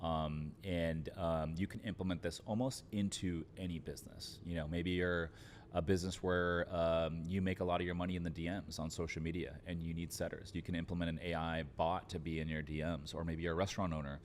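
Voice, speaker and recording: Low deep guy voice, podcast guest giving biz tips casually, bit of rumbly noise behind. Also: level tone, a little fast. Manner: informal, frequent fillers like "like" and "literally". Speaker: podcast guest, real estate entrepreneur. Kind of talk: conversational business advice.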